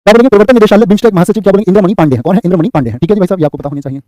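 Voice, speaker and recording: Male coach, high voice, very fast repeating quiz delivery. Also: mellow, no echo, clean studio sound. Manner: rapid, repeats answers and asks "what will you say?". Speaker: exam coaching teacher. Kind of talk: live online class, quizzing students.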